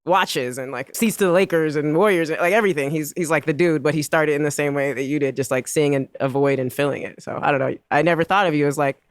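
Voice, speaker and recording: A woman's voice: low-pitched, quick pace, hardly any pauses, no echo, studio quality. A woman from Oakland, a writer and poet, chatting casually and with enthusiasm. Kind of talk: casual conversation.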